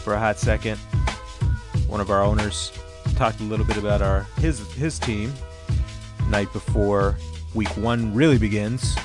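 A hoarse man casually hosting a fantasy sports podcast, rambling with lots of fillers. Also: on the low side, fairly even intonation, measured pace, music in the background. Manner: loose, rambling, many fillers. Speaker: podcast host, league commissioner. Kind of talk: casual solo podcast talk.

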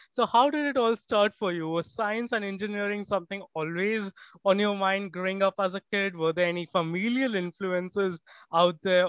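A man with a noticeably high voice hosts an interview podcast in a formal, effusive way. His delivery sounds prepared and orderly. The sound is very dry and somewhat dull, lacking crispness. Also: a bit bright, no room sound. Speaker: podcast host. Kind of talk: scripted introduction and interview questions. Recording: dull, muffled lo-fi audio.

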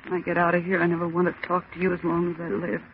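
An actress in an old-time radio thriller playing a frightened, bossy woman who is trying to stay calm, urgent and insistent, repeating her phrases. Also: fairly low pitch, rapid-fire, natural voice. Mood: tense, defiant. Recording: noisy, tinny old radio broadcast.

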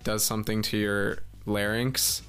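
A young man with a breathy, somewhat low voice talks in a loose, chatty way, stringing his stories together with constant "like"s. Soft music plays faintly underneath. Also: little pitch movement, a little slow. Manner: chatty, filler-heavy storytelling. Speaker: guest musician. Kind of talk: casual podcast chat.

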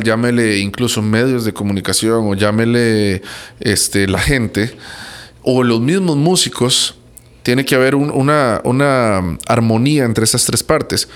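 A man with a Costa Rican accent and an average-pitched voice. He speaks as a musician in an interview, answering in a casual, conversational way. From Costa Rica.

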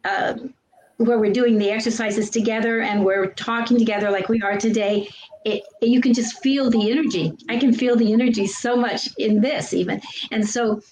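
Woman guest talking soft n gushy, a little hiss behind her. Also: on the high side, fairly even intonation, moderate pace, rough recording. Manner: soft-spoken and gushing, with light laughs. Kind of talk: casual testimonial chat.

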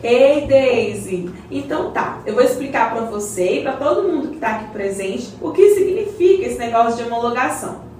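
A woman's voice: fairly high pitch, expressive, moderate pace, dark tone, close to an everyday voice. A woman with a Brazilian accent acting a patient, knowledgeable community member in an educational radio play, explaining in a colloquial way. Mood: didactic. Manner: didactic, colloquial. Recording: roomy echo, distant mic, faint noise.

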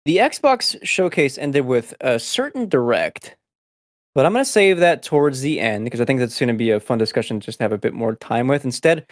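A man who grew up speaking Spanish first, hosting a casual podcast and rattling off news items in quick succession. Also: medium pitch, expressive, dry recording, clean studio sound. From United States. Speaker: podcast host.

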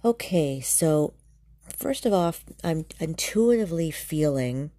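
Low, mellow-voiced woman, a little nasal. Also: animated, slow, no room sound, studio quality. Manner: soft, searching, with many ums and restarts. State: congested, slightly nasal from allergies. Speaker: tarot reader and medium. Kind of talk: spontaneous tarot reading to camera.

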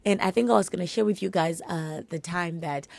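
A woman with a hoarse, husky voice from a flu, giving earnest, encouraging faith-based advice straight to her listeners. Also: on the low side, a little fast, darkish tone, no room sound. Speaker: podcast host.

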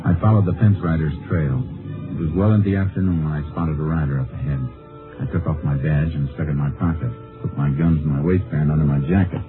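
Texas Ranger: low, American, laconic, folksy, unruffled and shrewd. Vintage radio Western sound, narrow band, music under. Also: male voice, fast talker. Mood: matter-of-fact narrating. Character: calm, shrewd male Texas Ranger posing as a drifter. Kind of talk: 1950s radio drama acting with first-person narration. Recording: muffled old-time radio recording with music.

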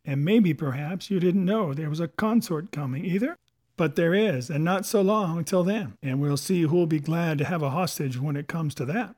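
A man voicing a domineering, crafty pirate sea cook who taunts and commands in rough sailor dialect, in a storybook reading. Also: on the high side, moderate pace, darkish tone, natural voice. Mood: scornful, boastful.

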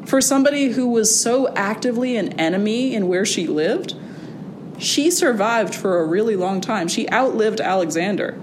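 A young woman, a college student, talking casually as an interview guest in a wry, animated way, with fillers and restarts. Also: normal speed. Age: young adult. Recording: audible background noise with a low rumble.

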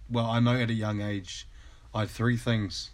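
A man in his thirties with a New Zealand accent, a guest chatting casually on a podcast, relaxed and hesitant with many ums. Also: on the low side, normal speed. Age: 30s.

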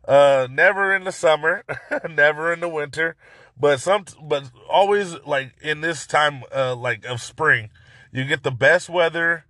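A man hosting a casual podcast, his voice hoarse and raspy from yelling, talking excitedly and rambling with many restarts. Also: a little high, no room sound. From Central California. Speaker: podcast host. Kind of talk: casual solo podcast talk.

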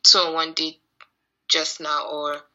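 Tired lady podcast host chatting, husky kinda low voice but really bright and forward. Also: level tone, slow, highs cut off. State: tired, sleepy. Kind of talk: casual chat recapping TV show.

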